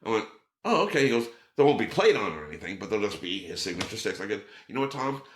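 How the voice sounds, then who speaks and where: A man's voice: fast talker, a little hiss or hum. A middle-aged man telling a story in casual chat, acting out quotes with a raised voice. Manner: animated, acts out quotes with raised pitch. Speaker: podcast guest. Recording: roomy echo.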